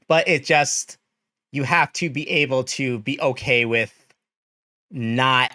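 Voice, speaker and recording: Animated nasal young man chatting. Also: mid-range voice, dry recording, studio quality. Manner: animated, expressive, laughs often. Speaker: streamer host. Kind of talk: casual livestream chat.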